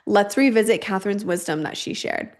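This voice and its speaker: A woman hosting a podcast. She affirms her guest warmly and introduces the next clip, with some casual swearing. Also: mid-range voice, a little fast. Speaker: podcast host.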